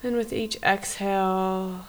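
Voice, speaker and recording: A woman guiding a body scan meditation in a soft, often near-whispered voice, with gentle, unhurried phrasing and pauses. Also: medium pitch, steady tone. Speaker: meditation guide. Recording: faint background hiss.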